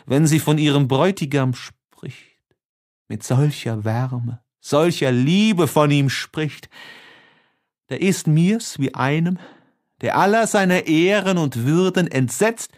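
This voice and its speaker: A man's voice: a little high, pitched above the performer's own voice. A man voicing a lovestruck, tormented young letter writer in an audiobook, impassioned and given to sighs. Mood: agitated. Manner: impassioned, sighing, swinging between hush and exaltation.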